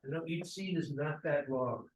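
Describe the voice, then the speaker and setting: A high-pitched male voice reads stage directions aloud at a table read. He is recorded from some distance in an echoey room. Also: normal speed.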